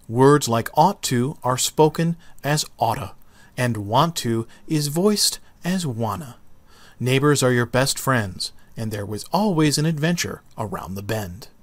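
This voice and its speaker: A man narrating a children's book as an expressive read-aloud. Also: mid-range voice, measured pace, no echo. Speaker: storybook narrator.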